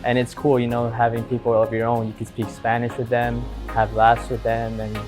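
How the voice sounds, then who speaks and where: Young male athlete from New York City, level even voice, faint music. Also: medium pitch, normal speed. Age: young adult. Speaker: soccer player. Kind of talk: interview talk.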